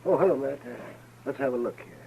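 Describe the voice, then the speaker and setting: A man acts out a kindly American country doctor in an old radio western. His mid-pitched voice is dry, practical and matter-of-fact, and the vintage recording carries faint noise. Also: fast talker, vocal fry, phone-quality sound, natural voice. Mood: brisk, focused. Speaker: radio actor.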